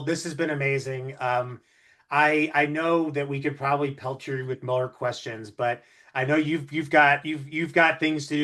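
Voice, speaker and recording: A man's voice: medium pitch, fairly bright tone. A man hosting an interview conversationally, talking in long, complimentary sentences. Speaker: interview host.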